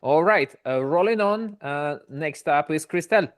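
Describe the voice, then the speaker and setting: A man's voice: a little high, lively intonation, dry recording. A man moderating an online conference, moving things along with frequent filler sounds. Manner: brisk, hesitant fillers.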